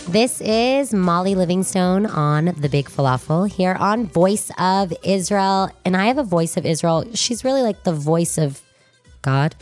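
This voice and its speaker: Woman radio host, low voice, chatty and gushy, trails off mid thought, studio interview. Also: expressive, a little slow, a little mellow, soft background music. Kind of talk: chatty interview, partly reading a bio.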